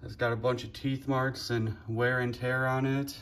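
A man, casually showing off his living room decor on a phone camera in a plainspoken, relaxed way. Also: medium pitch, moderate pace. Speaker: fishing channel host. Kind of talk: casual show-and-tell vlog. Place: living room at home, indoors. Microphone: handheld phone camera.

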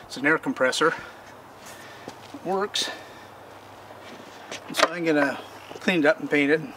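A man's voice: fairly high pitch, unhurried. Folksy older man demonstrating outdoors. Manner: folksy, rambling, plain-spoken. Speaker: homesteading channel host. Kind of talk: casual how-to demonstration. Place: outdoor backyard workspace. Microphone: camera mic from a distance. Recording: distant outdoor mic with noise.

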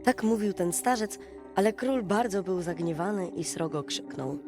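A woman storyteller reading a bedtime fairy tale in an expressive, dramatic, folksy way. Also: mid-range voice, normal speed. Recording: music behind the voice. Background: music.

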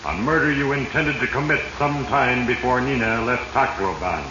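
An actor playing Fate, a sinister male narrator of a 1940s radio drama, ominous and deliberate as he addresses his victim. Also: medium pitch, highs cut off, lightly acted. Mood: ominous, taunting. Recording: echoey, noisy 1940s radio recording.

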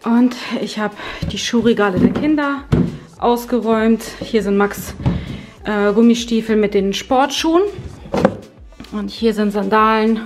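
A woman's voice: a little high, unhurried, over music. A woman in her thirties walking through her home on camera, chatting casually about what she has tidied. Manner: chatty, explaining as she walks around. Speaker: home vlogger. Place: bright modern home, indoors.

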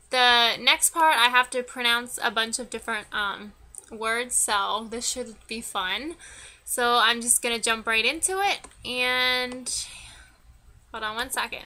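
A woman's voice: on the high side, measured pace, bright, forceful tone. A woman in her twenties from Pennsylvania with a Northern American accent, chatting informally and a little self-consciously as a vlogger. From Pennsylvania, United States. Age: 20s. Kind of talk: casual chat to camera, answering tag questions. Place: small wood-panelled room indoors.

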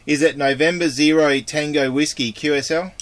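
A man's voice: on the high side, steady tone, normal speed, noisy background. An Australian man working as an amateur radio operator, speaking in procedural phrases, phonetic call signs and friendly radio chat.

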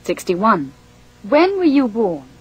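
Slow deliberate woman reading english drills, raspy breathy voice. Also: fairly high pitch, sing-song, darkish tone, poor audio. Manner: slow, deliberate, carefully enunciated. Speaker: language course reader.

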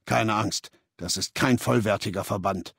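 Video game legion leader: ruthless, commanding male, gravelly, recorded very dry and close. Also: fairly low pitch, fairly bright tone, dry recording, exaggerated voice. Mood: stern, authoritative. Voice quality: gravelly, husky. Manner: authoritative, deliberate, imperious. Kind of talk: dramatic video game voice acting, German dub. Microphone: close, dry studio recording.

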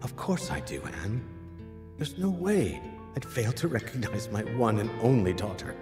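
A man playing a gentle, loving middle-aged king and father in a video game. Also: fairly low pitch, big pitch swings, normal speed, music in the background. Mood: tender. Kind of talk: video game cutscene acting. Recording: echoey hall with long reverb.

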